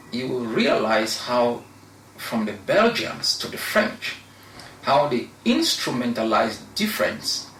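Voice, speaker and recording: A young man from Cameroon, a law researcher, giving measured, argumentative answers as an interview guest, with pauses and restarts. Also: mid-range voice, fairly bright tone, recorded from a distance. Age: young adult. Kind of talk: interview discussion. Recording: echoey room, voice off-mic, faint noise.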